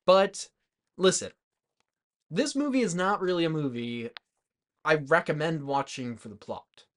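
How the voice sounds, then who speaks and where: A man's voice: fairly high pitch, expressive, unhurried. A tipsy man co-hosting a podcast, chatting casually about movies in a rambling, hesitant way. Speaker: podcast co-host.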